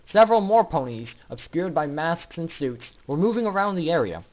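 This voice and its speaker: A man's voice: on the high side, very animated, normal speed, darkish tone, rough recording. A man reading a story aloud as narrator in a theatrical, dramatic style. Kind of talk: dramatic scripted fan fiction narration.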